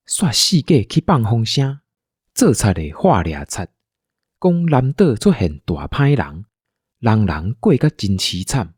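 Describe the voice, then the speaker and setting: A man's voice: fairly low pitch, darkish tone, slightly rough, dry recording, clean studio sound. A man with a Taiwanese accent narrating a scripted radio drama as a storyteller.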